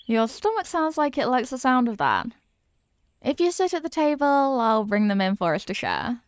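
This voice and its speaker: A woman playing a young, eager and kindly apprentice witch in an ASMR roleplay, chatty, teasing and expressive as she talks straight to the listener. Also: sits high, normal speed, smooth, no echo. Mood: proud, chatty. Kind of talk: ASMR character roleplay, scripted.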